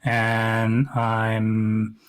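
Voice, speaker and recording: Man, from japan, middle-aged, measured, slow, lowish voice, video call. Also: completely flat delivery, smooth. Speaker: guest, knitwear designer. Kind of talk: casual video call interview.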